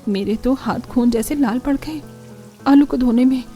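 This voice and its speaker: A woman plays a put-upon young cartoon daughter-in-law, dutiful yet full of grumbles. The voice is high and dramatic, with music behind it. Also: normal speed, warm tone, lightly acted. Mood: exasperated. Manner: complaining, dramatic.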